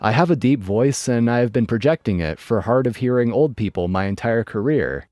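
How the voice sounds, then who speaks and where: A man narrating online stories in a lively, dry storytelling manner. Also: medium pitch, a little fast, no room sound.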